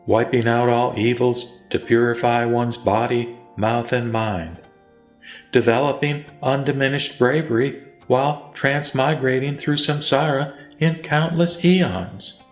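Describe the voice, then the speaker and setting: A man narrating a Buddhist scripture as a scripted recitation, in a solemn, formal and measured manner. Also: mid-range voice, vocal fry. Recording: echoey voice over faint music. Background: faint music.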